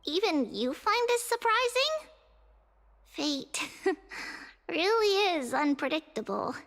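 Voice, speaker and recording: A woman's voice: very high-pitched, big pitch swings, slow pace, a bit bright, heavily acted. An actress playing a small, childlike girl seer in a fantasy game, caring and old-souled, speaking politely and soothingly. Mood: earnest. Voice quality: childlike, light. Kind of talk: scripted game voice acting.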